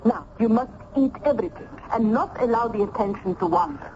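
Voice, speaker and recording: A woman's voice: a little high, fairly quick, darkish tone, natural voice. An actress playing a motherly, sly Italian landlady with an Italian accent, teasing and bossy, in an old-time radio drama. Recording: muffled, noisy vintage radio recording.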